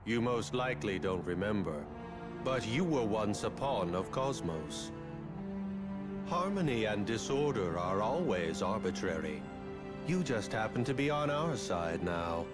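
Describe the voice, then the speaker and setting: A man playing a cold, scheming, grandiose villain in a video game, with a pompous, measured, ominous manner. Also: on the low side, animated. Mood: cold scorn. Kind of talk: dramatic video game voice acting. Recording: loud music behind the voice.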